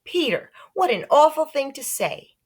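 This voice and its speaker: A woman's voice: high-pitched, sing-song, a little slow, darkish tone, voice pushed up. A woman voicing an anxious mother in a children's storybook, coaxing and pleading. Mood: indignant.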